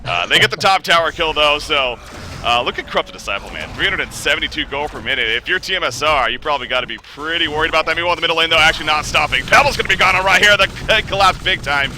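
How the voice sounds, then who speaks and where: Male esports caster, animated delivery, sharp bright tone, slightly raised pitch. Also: fast talker, slight background noise. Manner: excitable, joking, rising pitch on big plays. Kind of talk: play-by-play game commentary.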